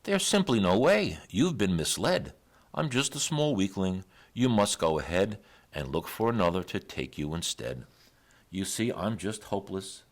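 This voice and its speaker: A man's voice: low-pitched, very animated, normal speed. A man voicing a small, weak young donkey in a storybook, frightened, pleading and full of self-doubt. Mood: dismayed, hopeless. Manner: pleading, doleful.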